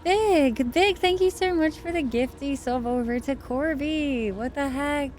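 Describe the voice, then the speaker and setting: A woman's voice: sits high, unhurried, darkish tone, a little hiss or hum. A tired woman chatting casually with her viewers while she streams a game late at night. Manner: chatty, thanks viewers and reads chat aloud. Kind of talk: casual chat while gaming live.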